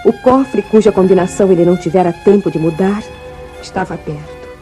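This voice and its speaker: A woman's voice: fairly low pitch, fairly quick, warm tone, soft background music, close to an everyday voice. A woman playing a grieving, loyal ranch daughter telling her story solemnly in a 1950s Brazilian radio drama. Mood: sorrowful, solemn. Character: grieving, loyal young ranch woman recounting family tragedy.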